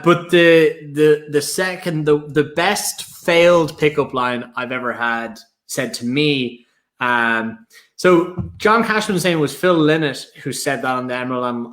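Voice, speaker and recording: A man's voice: medium pitch, a bit bright. An Irish man hosting a casual live stream, telling stories with lots of fillers and restarts. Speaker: host.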